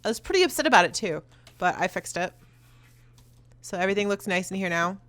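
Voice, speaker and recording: A young woman hosting a video, talking loud and fast in casual chat as she answers viewer questions while playing a game. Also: mid-range voice, lively intonation. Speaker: YouTuber host.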